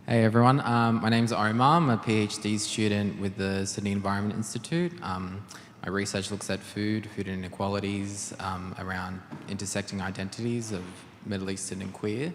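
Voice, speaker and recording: Creaky monotone guy doing an intro, roomy and a bit noisy. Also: lowish voice, normal speed, hardly any pauses. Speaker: PhD student. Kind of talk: self-introduction at a panel event. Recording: noisy hall with reverb.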